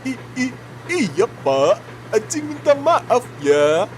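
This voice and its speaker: A man's voice: very high-pitched, big pitch swings, takes it slowly, slight background noise, exaggerated voice. A man playing a timid young male factory intern in a cartoon drama, stammering and apologetic. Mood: nervous apology. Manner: stammering, meek. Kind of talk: animated cartoon drama dialogue.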